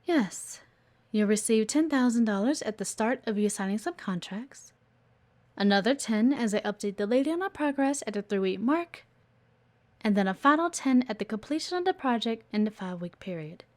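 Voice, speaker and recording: A woman's voice: fairly high pitch, expressive, moderate pace, a little mellow, natural voice. A woman playing a blunt, guarded but earnest executive assistant in an audio roleplay, assertive and exasperated. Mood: persuasive. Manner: exasperated, assertive, frequent sighs. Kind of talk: audio roleplay acting addressed to the listener.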